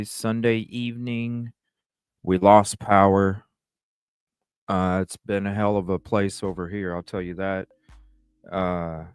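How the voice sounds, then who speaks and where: A man's voice: on the low side, clean tone, dry recording. A man hosting a casual true crime livestream from home, rambling with lots of uhs and some cursing. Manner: slow, rambling, with frequent uhs and mild cursing.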